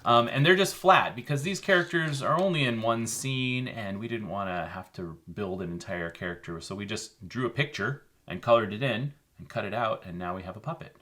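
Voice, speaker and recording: A man with a very low voice talks through a how-to in a relaxed, easygoing way. He co-hosts the show and fills his explanations with ums and uhs. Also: fairly quick, hardly any pauses. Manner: relaxed, explanatory, many fillers. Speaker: co-host. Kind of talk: casual how-to chat.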